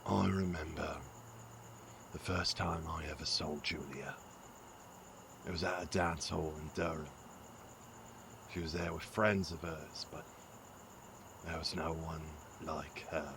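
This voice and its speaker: A gruff, rueful father, played by a male actor, talks very low and slowly in an audio drama. He is weary and earnest, with clear night-time ambience behind him. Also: fairly even intonation, background noise, heavily acted. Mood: wistful. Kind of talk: audio drama acting, reflective monologue.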